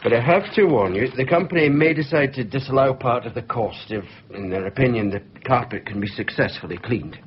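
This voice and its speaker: An actor playing a polite, flustered insurance assessor with a Scottish accent, hesitant and formal in a radio drama. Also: male voice, medium pitch, fairly quick, few pauses, close to an everyday voice. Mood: wary, businesslike. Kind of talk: naturalistic radio drama acting. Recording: muffled, noisy off-air radio recording.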